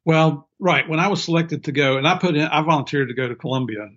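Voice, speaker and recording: A man's voice: on the high side, quick pace, a bit bright, highs cut off. An older man with a country Southern accent from Tennessee and West Virginia, telling stories as an interview guest in a folksy, self-deprecating way. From Middle Tennessee and Southern West Virginia, USA. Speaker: former drug enforcement agent, interview guest. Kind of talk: casual interview storytelling.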